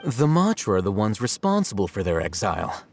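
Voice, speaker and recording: Low-voiced aloof scholar. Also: male voice, big pitch swings, fairly quick. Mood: needling. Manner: articulate, cool, provoking. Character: aloof, intellectual, needling scholar. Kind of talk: video game character dialogue.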